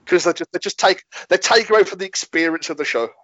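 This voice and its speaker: A man's voice: high-pitched, fast talker, a bit bright, no room sound, lo-fi sound. A man from Birmingham in casual chat on a video call, telling rambling anecdotes and laughing easily. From Birmingham, England.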